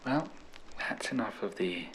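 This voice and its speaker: A man with an English accent doing an old-fashioned ASMR role-play, hushed and soothing, with genteel, formal turns of phrase. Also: fairly low pitch, lively intonation, slight background noise, low-quality recording. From England. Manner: slow, hushed, soothing delivery. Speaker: ASMR host.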